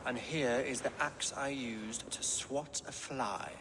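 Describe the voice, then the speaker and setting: A man playing a pious, learned, weary Saxon king in a video game, speaking in a breathy, gravelly hush. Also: mid-range voice, slow pace. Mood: dry, reproving. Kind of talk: video game dramatic acting. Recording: reverberant with clear background noise.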